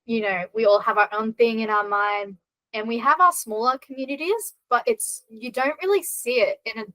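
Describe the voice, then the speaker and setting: A woman's voice: on the high side, moderate pace, bright, forceful tone, no room sound, low-quality recording. A woman from Australia, a podcast guest talking in an earnest, reflective way with many fillers. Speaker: podcast guest, content creator. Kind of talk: casual podcast chat.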